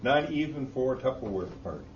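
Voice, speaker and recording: A man speaking as a board member in a meeting discussion, halting and repeating words as he insists on his point. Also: mid-range voice, expressive. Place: meeting room. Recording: roomy, dull, distant recording with noise.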